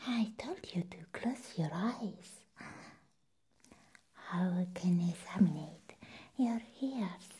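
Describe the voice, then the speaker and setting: A young woman playing an eerie, playful vampire nurse in an ASMR medical roleplay, speaking in a breathy whisper with lingering, repeated words and hums. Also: fairly low pitch, expressive, slow pace, pauses often, mellow, exaggerated voice. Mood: coaxing, insistent. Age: young adult. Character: eerie, playful female vampire nurse examining a human patient. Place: small room set up as a clinic. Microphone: close ASMR microphone.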